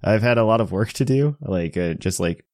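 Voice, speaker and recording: A man with a fairly low voice hosts a casual podcast. He talks in a joking, self-deprecating way, recorded close and dry. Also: expressive, fairly quick, a little mellow, dry recording. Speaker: podcast host. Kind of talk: casual chat.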